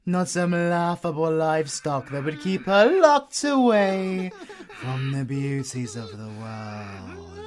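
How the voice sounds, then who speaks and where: A British man playing a flamboyant, sadistic werewolf villain in an audio roleplay, theatrical and mocking, drawling with long pauses. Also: a little high, full character voice. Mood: smug, mocking.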